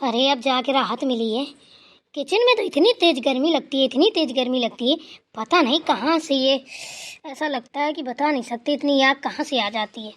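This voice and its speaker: A woman's voice: sits high, animated, normal speed. A woman voicing an elderly mother-in-law who rambles through stories and scolds playfully. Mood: relaxed. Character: elderly mother-in-law, chatty, teasing, fond.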